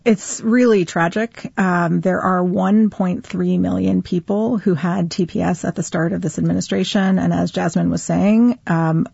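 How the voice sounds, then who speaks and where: Gravelly, slow-spoken woman guest. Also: fairly low pitch, steady tone, darkish tone, no echo, lo-fi sound. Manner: slow, deliberate, with pauses and fillers. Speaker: civil liberties lawyer guest. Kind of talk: radio interview answer.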